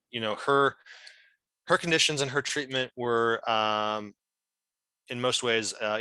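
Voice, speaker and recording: A man answering questions at an online meeting, speaking earnestly in a halting, hesitant way. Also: fairly low pitch, pushed and bright, clear, resonant tone, no echo, rough recording. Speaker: legal team member as guest speaker. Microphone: video call.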